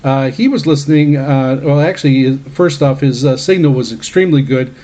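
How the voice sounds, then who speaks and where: A man hosting a radio program in a casual mailbag style, speaking haltingly with very frequent hesitations. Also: mid-range voice, warm tone, muffled recording. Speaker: radio show host.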